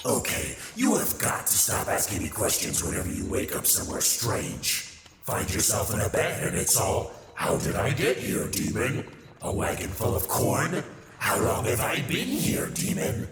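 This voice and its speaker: A sly demon tempter played by a man speaks in an animated, sardonic and grandiose way for an ASMR roleplay. The voice swoops around and rings with long reverb, over loud background ambience. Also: fairly low pitch, normal speed, background noise. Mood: wry, scheming, then mocking. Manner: theatrical, sardonic, grandiose wording. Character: sly, hedonistic male demon, mocking tempter and mentor.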